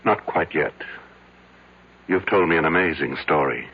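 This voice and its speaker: An actor playing a measured psychiatrist who questions a patient deliberately, in old-time radio drama style. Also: male voice, rumbling low, slightly rough, full character voice. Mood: measured, probing. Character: measured, probing male psychiatrist hearing a patient out. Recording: dull vintage radio broadcast recording.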